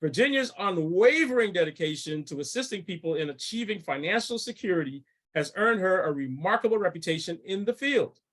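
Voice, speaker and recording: A man with a notably high voice reads out his guests' introduction in a careful, formal way. He is hosting an online webinar and sometimes trips over a word before going on. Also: animated, a little fast, fairly bright tone. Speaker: webinar host. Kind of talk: reading a speaker introduction.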